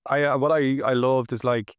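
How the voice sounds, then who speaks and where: A man's voice: mid-range voice, fairly even intonation, moderate pace, smooth, no room sound, lo-fi sound. An Irish man in his forties with an Irish accent, talking conversationally as a panel guest. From Ireland. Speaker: panel guest, tech company representative. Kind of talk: conversational interview answer. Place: indoor studio, seated on a sofa. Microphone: clip-on lapel.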